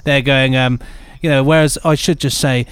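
A man's voice: medium pitch, fairly even intonation, normal speed, smooth, dry recording. A man co-hosting a podcast, chatting in a rambling, playful, ad-libbing way. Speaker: podcast co-host. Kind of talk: jokey casual chat.

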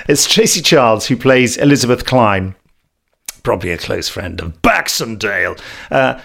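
A man's voice: medium pitch, vocal fry. A man hosting a podcast, chatting casually and reading reviews aloud with a jokey manner. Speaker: podcast host.

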